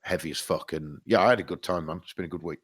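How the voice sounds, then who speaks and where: Deep-voiced guy, bunged up with hay fever, rambling podcast chat, swears a lot. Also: fast talker, a bit bright. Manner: rambling, swears freely, many restarts. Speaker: podcast co-host. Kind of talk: casual chat.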